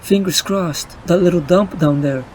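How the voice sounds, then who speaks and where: An actor playing a young, weary, wisecracking superhero, narrating in a brooding, wry comic-book style. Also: male voice, high voice, a little slow, a little hiss or hum. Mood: anxious, grieving.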